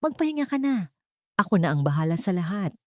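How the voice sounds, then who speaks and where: A woman's voice: mid-range voice, very animated, fast, no room sound, studio quality, natural voice. Woman acting a haughty, jealous rich fiancée. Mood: fake sweetness. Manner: firm, menacing, condescending. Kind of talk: audiobook romance drama.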